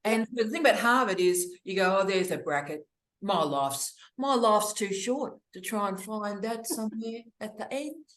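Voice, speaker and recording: A woman's voice: mid-range voice, animated, moderate pace. Witty woman on a roomy call. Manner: witty, theatrical asides, warm greetings. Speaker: academic hosting online office hours. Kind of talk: lively, opinionated casual chat. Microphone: video call. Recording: roomy echo on a video call.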